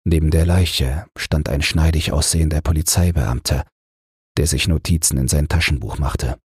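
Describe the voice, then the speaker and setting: A man reading a calm, scripted bedtime crime story as an audiobook narrator. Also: rumbling low, moderate pace, breathy edge, no echo, studio quality.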